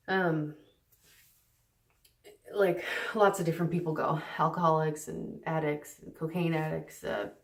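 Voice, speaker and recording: A woman with a low voice talks loosely to camera from her stairs at home, full of ums and restarts and at times hushed. The sound is roomy and echoey, with the mic set back from her. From Canada. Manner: rambling, many fillers and restarts, partly hushed. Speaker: recovery vlogger. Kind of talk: casual unscripted talk to camera. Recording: echoey, mic some distance away.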